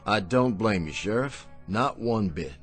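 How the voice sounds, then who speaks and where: Sly miracle-worker con man, low and swooping voice, mock-polite, radio drama, music behind. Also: expressive. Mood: insinuating, mocking. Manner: courteous, insinuating, drawn-out mock politeness.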